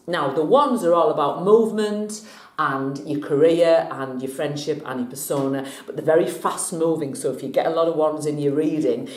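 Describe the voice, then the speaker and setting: A woman's voice: deep voice, echoey room. Chatty woman with a slight cold. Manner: chatty and jokey, laughs often. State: has a cold, a bit scratchy. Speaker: vlog host, tarot reader. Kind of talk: casual tarot teaching vlog.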